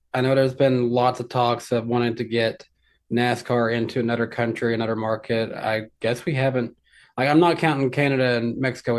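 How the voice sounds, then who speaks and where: A man with a mid-pitched voice joins a casual racing podcast. He speaks in a measured way, pausing slightly as he gathers his thoughts. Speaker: podcast co-host. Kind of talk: casual chat.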